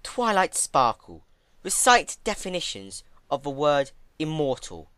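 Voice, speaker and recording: Immortal mare monarch male performer: commanding, measured, haughty, lifted and bright, for a dark fantasy storybook. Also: fairly high pitch, very animated, no echo, voice pushed up, exaggerated voice. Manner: measured, condescending, formal. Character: regal immortal female pony ruler, haughty, dry, commanding.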